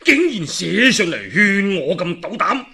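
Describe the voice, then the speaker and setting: A man's voice: high-pitched, big pitch swings, measured pace, vocal fry, pushed and bright, pitched above the performer's own voice, heavily acted. A man voicing a proud, hot-tempered male warlord in Cantonese-accented storytelling, blustering and emphatic. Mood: outraged.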